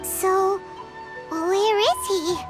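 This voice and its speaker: A woman voicing a tiny, childlike fairy companion in a video game, squeaky, excitable, curious and eager. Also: drawn-out words, heavily acted. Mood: puzzled. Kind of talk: scripted video game dialogue. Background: game music.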